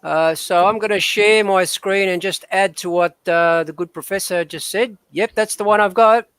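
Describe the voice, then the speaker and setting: A man's voice: high voice, fairly even intonation, moderate pace, a bit bright, smooth, no echo. A man hosting a discussion show, speaking hesitantly with many fillers. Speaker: host. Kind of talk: casual discussion.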